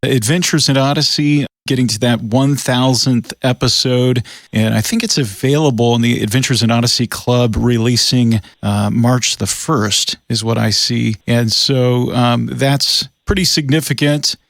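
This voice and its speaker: A man's voice: mid-range voice, steady tone, a little slow, gravelly, a bit bright, no room sound, studio quality. Male radio host in his forties. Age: 40s. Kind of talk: radio interview.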